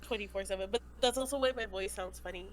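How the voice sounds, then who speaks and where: A woman's voice: mid-range voice, fast talker. A young woman co-hosts a laid-back podcast chat, her voice stuffy and congested from a flu. She talks in an easygoing, agreeable way, with faint noise behind her. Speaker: podcast co-host. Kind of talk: casual chat.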